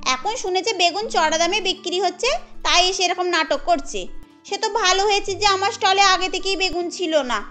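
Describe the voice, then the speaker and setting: A woman voicing a scheming, sharp-tongued female vegetable seller in a cartoon, accusing and scolding. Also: extremely high pitch, moderate pace, soft background music, pitched above the performer's own voice. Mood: scornful.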